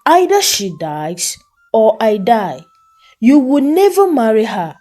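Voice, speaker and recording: A woman's voice: on the high side, very animated, takes it slowly, mellow, heavily acted. A woman voicing a jealous, scheming mother of a grown son in a folktale, emphatic and commanding in manner. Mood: desperate shouting.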